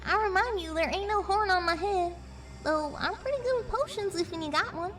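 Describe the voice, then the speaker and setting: Blunt young pony girl, very high voice, Southern US drawl, doubtful, audio drama, slightly distant over faint music. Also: moderate pace, a bit bright. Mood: plainspoken, questioning. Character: young female pony, three-legged, skeptical and plainspoken. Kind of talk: fan audio drama acting.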